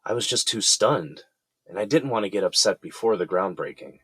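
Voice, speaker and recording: A man's voice: lowish voice, animated, a little fast, pushed and bright, husky, faint music behind, heavily acted. A man playing a weary middle-aged defendant who explains himself in an earnest, conversational way in an audio drama. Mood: subdued, confiding.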